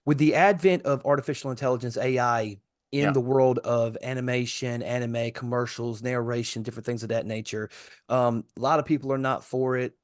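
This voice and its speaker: A man's voice: mid-range voice, steady tone, brisk, no echo, clean studio sound. A man hosting a casual podcast interview, putting questions to a guest in everyday conversational speech.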